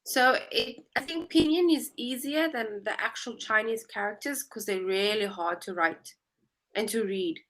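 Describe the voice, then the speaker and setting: Bright-voiced South African woman tutor. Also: on the high side, measured pace, rough recording. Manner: friendly, chatty, explains patiently. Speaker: online English tutor. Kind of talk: casual video-call conversation.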